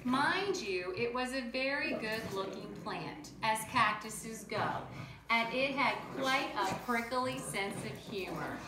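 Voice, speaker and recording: A woman's voice: fairly high pitch, slow pace, echoey room, noisy background. A woman reading a children's picture book aloud at home, drawing out words and stopping to talk to young listeners. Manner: animated, draws out words, talks to kids directly. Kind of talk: children's read-aloud with asides. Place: home room with pets nearby.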